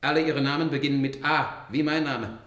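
An actor playing a loyal, stubborn robot in a German science-fiction radio drama, earnest and deferential. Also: male voice, fairly high pitch, fairly even intonation, brisk, a bit bright, natural voice. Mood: firm, protective. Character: loyal, stubborn male robot protective of his robot sons.

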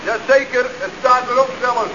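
An actor playing a cheeky, slangy youngster who reports in as if over a walkie-talkie in a radio comedy. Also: male voice, extremely high pitch, normal speed, pushed and bright. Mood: triumphant. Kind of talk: Dutch radio comedy acting. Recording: dull, noisy old radio recording.

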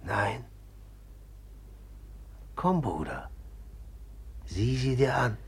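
Husky, brooding man, slow and haunted. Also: mid-range voice, lively intonation, heavily acted. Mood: subdued, haunted. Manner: halting, hushed, repeating himself. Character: brooding, eerily submissive man who has killed a woman. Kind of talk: German radio drama acting.

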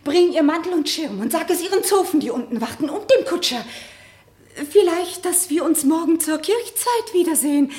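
A woman's voice: extremely high pitch, lively intonation, moderate pace, a little mellow. A woman acting a courteous, guarded noblewoman and reluctant confidante in a German radio play, with polite, formal phrasing. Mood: composed, formal.

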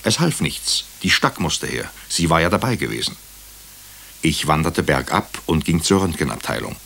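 A very low-voiced, husky male hospital doctor narrates a crime radio play. He is brooding and wry. His phrases come measured and clipped, as if thinking aloud. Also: big pitch swings, bright, forceful tone, exaggerated voice. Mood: dry narration. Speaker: narrator and lead character. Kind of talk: German radio drama, first-person crime narration.

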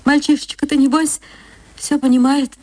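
A woman's voice: high voice, level tone, normal speed, a little mellow, dry recording, voice pushed up, close to an everyday voice. A woman voicing a kindly, sedate, devout provincial wife in a radio story, with an earnest sing-song manner. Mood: gentle urging.

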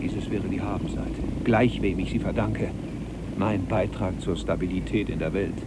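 Fretful middle-aged archivist husband, played by an actor, noisy recording. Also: male voice, low-pitched, steady tone, moderate pace, natural voice. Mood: pedantic, defensive. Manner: argumentative, pedantic, declamatory. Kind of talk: German radio drama acting. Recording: noisy cassette copy of radio drama.

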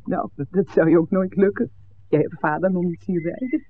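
An actor playing a frank young villager from a smuggling family, talking informally in a 1960s radio play. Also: male voice, sits high, normal speed, lightly acted. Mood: candid, wry. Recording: tinny old radio recording with noise.

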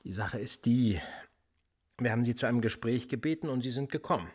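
A sardonic police interrogator in a radio drama, husky and cool. His pitch swings widely as he mocks and threatens by turns. He sounds calm but menacing. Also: a little fast. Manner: calm, mocking, turning menacing. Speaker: police officer. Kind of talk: radio play acting.

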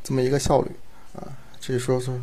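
A man with a mid-range voice talks very quickly in a casual interview. He is a director, earnest in manner, and tends to repeat his phrases. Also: mid-range voice, completely flat delivery, mellow. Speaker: audio drama director, guest.

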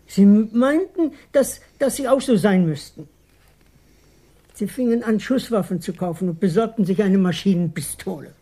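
A woman's voice: mid-range voice, moderate pace, mellow, lightly acted. A woman playing a nervous, evasive small-time police informant in a German radio crime drama, hesitating and repeating words defensively. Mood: explaining, agitated.

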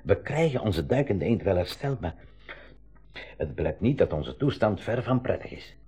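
Husky, animated older professor. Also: male voice, lowish voice, moderate pace, full character voice. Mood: reassuring, measured. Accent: Flemish. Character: older professor uncle, calm leader of a stranded expedition. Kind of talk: 1980s school radio drama acting.

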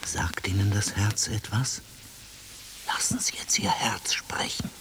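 An actor in a German radio play playing an intense, insistent male therapist, solemn and often hushed, guiding a patient inward. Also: on the low side, flat delivery, measured pace, in a whisper. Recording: hissy radio play recording.

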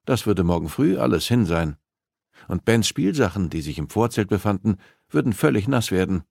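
A man's voice: on the low side, big pitch swings, normal speed, vocal fry, a little mellow, no echo, studio quality. A man reading a suspense novel aloud as scripted, dramatic audiobook narration.

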